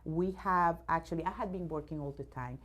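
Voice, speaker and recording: Woman, from Venezuela, English speaker, low voice, hesitant restarts, enthusiastic, CEO interviewee. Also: a little fast, a bit bright. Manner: many fillers and restarts, searching for words; enthusiastic about her subject. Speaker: robotics company CEO, guest. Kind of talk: news interview.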